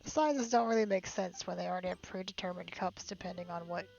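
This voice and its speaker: Woman, game streamer, casual chat, gravelly fry, slightly dull audio, game music behind. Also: lowish voice, quick pace, a little mellow. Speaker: game livestreamer.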